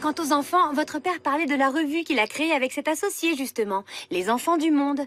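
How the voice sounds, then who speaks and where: A woman voicing a calm, reassuring mother in a cartoon, gentle as she explains. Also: high-pitched, brisk, faint music behind. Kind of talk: French animated series dubbing.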